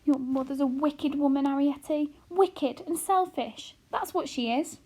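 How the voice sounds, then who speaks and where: A woman's voice: high-pitched, lively intonation, moderate pace, a little mellow, pitched above the performer's own voice. A woman reading aloud and voicing an anxious, fretful tiny mother who exclaims and frets, in a storybook reading. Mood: distraught. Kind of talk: audiobook character reading.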